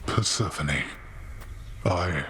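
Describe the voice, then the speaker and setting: A stern old king of the underworld, speaks very low with formal, imperious weight. He sounds weary and grim, as in a dramatic video game, with faint music behind him. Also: drawn-out words, bright, forceful tone, heavily acted. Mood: remorseful, grave. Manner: formal, grave, imperious diction.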